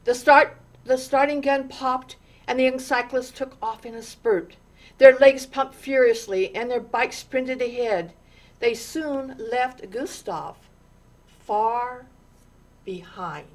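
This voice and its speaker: A woman reading a children's story aloud in an expressive, deliberate way, with dramatic pauses and stressed words. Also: on the high side. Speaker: storytime reader.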